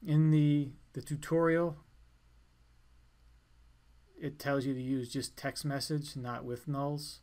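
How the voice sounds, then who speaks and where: A man giving an informal step-by-step software tutorial in a plain, instructional way. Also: fairly high pitch, little pitch movement, slow, pauses often. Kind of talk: step-by-step screencast walkthrough, informal.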